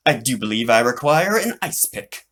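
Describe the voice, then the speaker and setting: A man's voice: mid-range voice, very animated, normal speed, a bit bright. A man voicing an eager, self-important young boy adventurer in a children's story. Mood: eager, proud.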